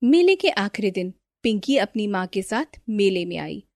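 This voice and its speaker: A woman's voice: mid-range voice, sing-song, unhurried, no echo, studio quality. A woman storyteller reading a scripted children's moral story as narrator.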